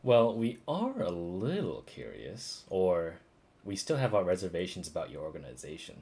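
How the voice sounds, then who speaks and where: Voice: sits low, sing-song, normal speed, a little mellow, husky. A game streamer giving casual, wry, informal commentary and reading dialogue aloud. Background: game music.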